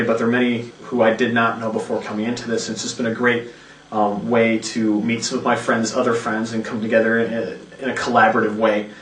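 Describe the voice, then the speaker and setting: A man's voice: medium pitch, fairly bright tone, recorded from a distance, a little hiss or hum. A man who voices a part in a radio play, talking enthusiastically and rambling in an interview. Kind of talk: casual behind-the-scenes interview. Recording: roomy, away from the mic.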